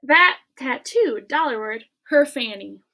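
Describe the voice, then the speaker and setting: A woman reading a children's book aloud in an expressive way, with casual chat to her listeners. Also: high voice, a little slow.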